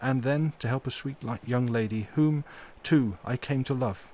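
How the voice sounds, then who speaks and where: A man voicing an elderly, stern but tender foreign professor who speaks in solemn, formal, halting phrases. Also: on the high side, animated, a little hiss or hum, voice pushed up, close to an everyday voice.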